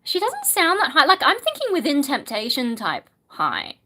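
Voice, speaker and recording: A woman's voice: high voice, huge pitch swings, normal speed, sharp tone. A woman, a singer, chatting casually on a livestream, reading viewer questions aloud and musing with frequent ums. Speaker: singer and streamer answering chat.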